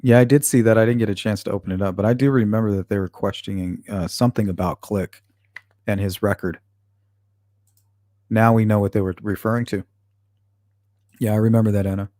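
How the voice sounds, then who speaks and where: A man's voice: on the low side, steady tone, fairly quick, long pauses, dark tone, no echo. A man hosting a livestream, reading viewer comments aloud and answering them bluntly, with frequent swearing. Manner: blunt and profane, talks back to chat. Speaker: channel host reading live chat. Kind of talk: casual livestream chat.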